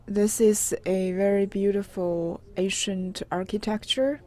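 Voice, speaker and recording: A woman's voice: mid-range voice, flat delivery, measured pace, a little mellow. A woman explaining local sights live, hesitant and often searching for her words.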